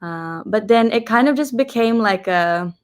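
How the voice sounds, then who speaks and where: A woman's voice: fairly high pitch, lively intonation, measured pace. A young woman from New Zealand, a pageant contestant, answering interview questions in a reflective, earnest way and slipping in Filipino phrases.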